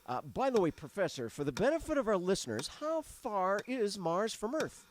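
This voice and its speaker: Animated high-pitched 1930s radio reporter. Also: male voice, normal speed. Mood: eager, inquisitive. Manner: formal, announcer-like, dramatic. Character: earnest male radio news reporter interviewing on live air. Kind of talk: 1930s radio acting, live news commentary. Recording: noisy old radio recording with clicks.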